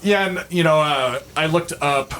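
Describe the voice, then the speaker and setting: A man's voice: on the high side, a little slow, a bit bright. Man chatting casually, noisy recording. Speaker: podcast co-host. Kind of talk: casual album discussion. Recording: clear background noise.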